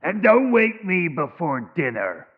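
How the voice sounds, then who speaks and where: An actor playing a gruff, commanding male pirate captain in an audio drama. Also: on the high side, big pitch swings, unhurried, phone-quality sound, full character voice. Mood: blustering. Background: guitar and fiddle music.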